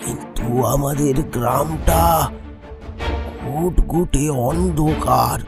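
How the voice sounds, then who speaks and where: A man voicing an uneasy older village uncle in a horror cartoon, musing haltingly over music. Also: on the high side, gravelly, voice pushed up. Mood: worried. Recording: loud music behind.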